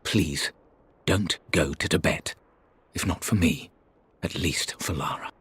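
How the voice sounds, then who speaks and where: An actor playing a grave, earnest man pleading in a video game, with a very raspy voice. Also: low-pitched, takes it slowly, a bit bright.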